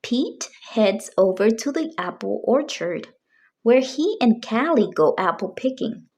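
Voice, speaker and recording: A woman reading a picture book aloud for young children, with deliberate, expressive phrasing. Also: mid-range voice. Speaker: children's storybook reader.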